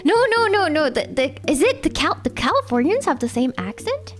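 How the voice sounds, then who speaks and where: A woman's voice: high-pitched, big pitch swings, moderate pace, faint music behind. A young Canadian woman with a Canadian accent, streaming and chatting casually, rambling and talking straight to her viewers. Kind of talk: casual chat with chat readers.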